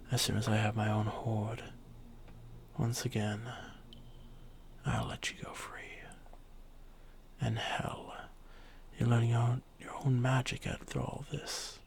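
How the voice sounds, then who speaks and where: Arrogant age-old dragon played by a man, fantasy ASMR: breathy, fry-laden, unhurried delivery, haughty and commanding. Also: medium pitch. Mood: condescending, reassuring. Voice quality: breathy, creaky half-whisper. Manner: slow, haughty, deliberate. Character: arrogant ancient dragon, male, thousands of years old, domineering.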